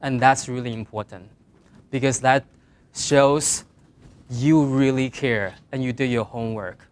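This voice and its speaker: A middle-aged man with a Mandarin Chinese accent, speaking English as a second language, giving earnest career advice to design students, rising on key points and trailing off quietly at the ends of phrases. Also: medium pitch, a little slow. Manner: earnest, plain advice with emphatic rises and trailing quiet endings. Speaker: guest speaker.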